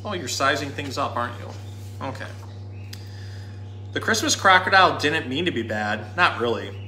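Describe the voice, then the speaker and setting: Male zookeeper presenter, thirties, informal and explanatory, bright forward voice, roomy sound. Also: mid-range voice, lively intonation, moderate pace, a little hiss or hum. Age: 30s. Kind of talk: casual educational talk and storybook reading. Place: small indoor room at a pet store. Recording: echoey room.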